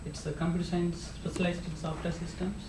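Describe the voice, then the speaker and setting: Flat-toned man from India, echoey room. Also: high voice, brisk. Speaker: student. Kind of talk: self-introduction. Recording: echoey room, distant mic, clear noise.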